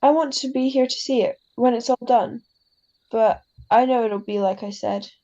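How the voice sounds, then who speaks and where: Woman acting a frail, gentle mother, creaky voice. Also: fairly high pitch, muffled recording, natural voice. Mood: gently reflective. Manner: tender, halting, philosophical, tags sentences with huh. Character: ailing, gentle, wise immigrant mother. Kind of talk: classic radio drama acting.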